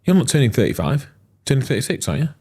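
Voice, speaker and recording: A man's voice: medium pitch, very animated, fast talker, a little mellow, husky. A man in his thirties, co-hosting a casual business podcast with dry, teasing asides. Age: 30s. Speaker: podcast co-host.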